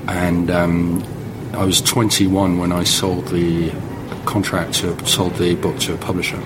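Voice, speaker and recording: A man in his forties who grew up in the Netherlands speaks with a low voice. He recounts his experiences as an interviewed author, conversational and thinking aloud. There is clear background noise behind him. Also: level tone, unhurried. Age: 40s. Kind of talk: reflective interview storytelling.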